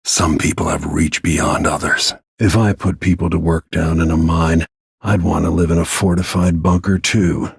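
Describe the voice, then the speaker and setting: An actor playing a grim, vengeful middle-aged assassin in a video game, brooding in a gravelly, husky voice with low-key menace. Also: male voice, level tone, moderate pace, dry recording, studio quality, full character voice. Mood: brooding, resolute. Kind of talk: dramatic game voice lines, brooding inner monologue.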